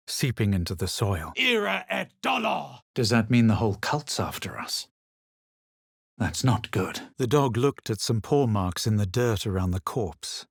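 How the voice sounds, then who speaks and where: Casting: a superior, theatrical adventurer in a fantasy game; husky, very expressive pitch, dramatic and self-assured. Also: male voice, no echo, studio quality, heavily acted. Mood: commanding incantation. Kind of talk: video game voice lines, narration and spell calls.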